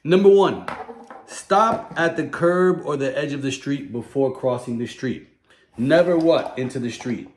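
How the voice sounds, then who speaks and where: A woman's voice: extremely low pitch, unhurried, a little mellow. A woman giving a child-directed safety lesson as a teacher, instructively repeating key phrases and prompting with questions. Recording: echoey room.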